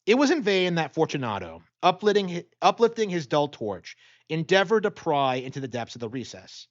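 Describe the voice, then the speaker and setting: A man's voice: a little high, sing-song, a little fast, dull audio. A man reading a gothic story as a dramatic audiobook narrator.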